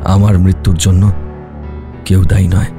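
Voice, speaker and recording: An actor playing a desperate, heartbroken young man in an audio drama, pleading and halting. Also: deep voice, flat delivery, creaky, music in the background. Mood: despairing. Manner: pleading, halting, repeats words. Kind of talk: Bengali audio drama acting.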